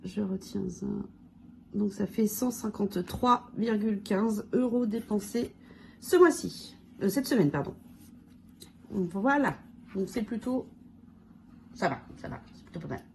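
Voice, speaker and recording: A woman with a cold and a hoarse voice, casually going through her budget challenges and counting money aloud with lots of fillers. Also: medium pitch, expressive, measured pace, pauses often, darkish tone, slight background noise. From France. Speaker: budget vlogger. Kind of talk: casual budget review talk.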